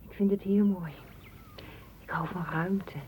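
A woman's voice: on the low side, fairly even intonation, a little slow, dark tone, close to an everyday voice. A woman playing a withdrawn, sad lover in a radio play, subdued and introspective. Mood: quiet. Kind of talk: Dutch radio play acting. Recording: faint noise of old radio recording.

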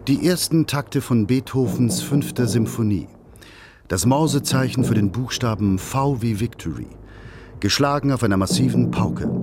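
A man's voice: medium pitch, a little mellow, dry recording. Man narrating a documentary, dry close sound.

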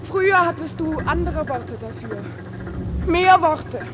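A woman's voice: sits high, animated, slow. An actress in a German radio play as a wistful, reproachful woman in love. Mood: pleading. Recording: loud street noise behind.

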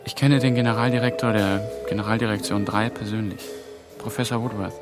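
A man playing a young, careful and sceptical European institution trainee in a radio drama, speaking in a measured way. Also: on the low side, steady tone, close to an everyday voice. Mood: firm, defensive. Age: young adult. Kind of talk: German radio drama dialogue. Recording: music behind the dialogue.

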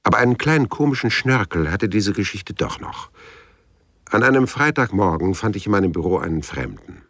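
A man's voice: fairly low pitch, animated, a little fast, fairly bright tone. An actor playing a weary, cynical private detective who tells his own case dryly and laconically in a radio play. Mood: weary, bitter. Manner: dry, laconic. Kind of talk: radio play narration and dialogue.

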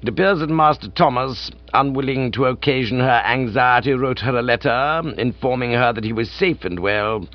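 A man voicing a deferential, unflappable English manservant in a comic audiobook, with formal, measured, understated speech. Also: mid-range voice, no echo, dull audio, close to an everyday voice. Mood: grave, tactful.

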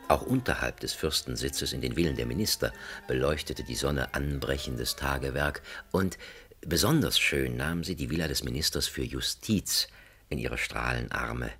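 A man narrating a satirical radio drama as a wry, mock-solemn storyteller, with a gravelly, husky voice. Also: very deep voice, moderate pace, a bit bright, soft background music. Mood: wry, serene. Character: wry, leisurely male storyteller of a satirical fairy tale. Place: radio studio.

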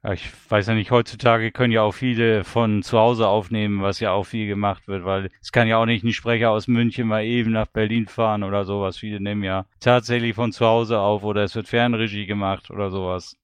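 A man's voice: lowish voice, fairly even intonation, a little fast, hardly any pauses, clean tone, no room sound. A man working as an interviewer, asking questions and adding remarks in an informal, conversational way.

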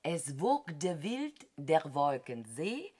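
An actress in a German radio play as a reluctant crew member, reciting nonsense verse in a husky, drawn-out singsong. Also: female voice, deep voice, sing-song, warm tone, heavily acted. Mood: declamatory.

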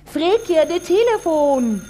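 A woman's voice: very high voice, very animated, slow pace, noisy background, full character voice. An actress in a radio play playing a cutesy woman who coaxes in a sugary, wheedling manner. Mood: sweetly nudging. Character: coaxing, cutesy woman fussing over her beloved.

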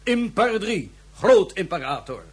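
A smug would-be emperor of the solar system, pitched on the high side and swooping theatrically from line to line. The delivery is pompous and mockingly polite, with a slightly husky edge, in the manner of a science fiction radio play. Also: male voice, big pitch swings, unhurried, exaggerated voice. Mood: grandiose gloating. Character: smug megalomaniac dictator plotting to seize the solar system. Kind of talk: radio drama acting.

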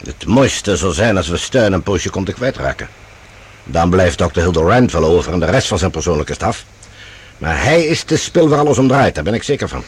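A male actor in a radio play as a composed, scheming general, speaking formally and with measured authority. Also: sits low, expressive, slightly rough. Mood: resolute, scheming.

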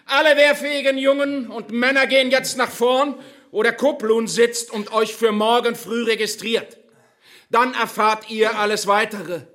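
An actor playing a zealous, menacing Nazi recruiter in a German radio drama, declaiming commands with swagger. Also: male voice, extremely high pitch, steady tone, moderate pace, a bit bright. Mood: bombastic, commanding.

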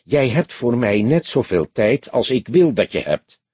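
A man's voice: medium pitch, sing-song, a little slow, a little mellow, slightly rough, no room sound. A man voicing a patient, mocking, authoritative grey-haired old police detective in an audiobook. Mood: mock surprise. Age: older.

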